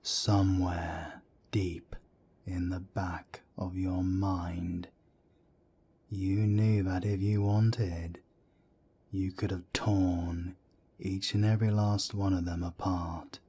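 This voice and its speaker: A man playing a wry, world-weary werewolf council elder in an audio roleplay drama, speaking in a measured, deliberate way. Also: level tone, warm tone, a little hiss or hum. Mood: grave, instructive. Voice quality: deep, husky.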